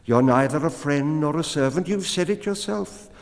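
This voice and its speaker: A man's voice: on the high side, animated, moderate pace, gravelly, big-room sound, pitched above the performer's own voice. A man doing a scornful cave woman.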